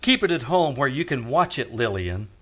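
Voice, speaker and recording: An actor playing a tough, sardonic American private detective in the wisecracking style of 1940s radio drama. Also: male voice, medium pitch, very animated, normal speed, fairly bright tone. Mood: tough, commanding. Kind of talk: 1940s radio detective drama acting, first-person narration. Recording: old radio recording with music.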